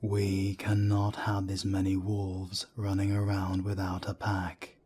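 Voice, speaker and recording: A man playing a wry, world-weary werewolf council elder in an audio roleplay drama, speaking in a measured, deliberate way. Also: monotone, mellow, a little hiss or hum. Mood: grave, instructive. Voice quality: deep, husky.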